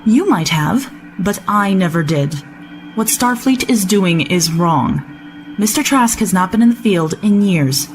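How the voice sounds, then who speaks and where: An actress playing a proud, combative starship commander in an audio drama, sarcastic and blunt. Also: female voice, mid-range voice, lively intonation, a little slow, faint music behind, close to an everyday voice. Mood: bitter, angry.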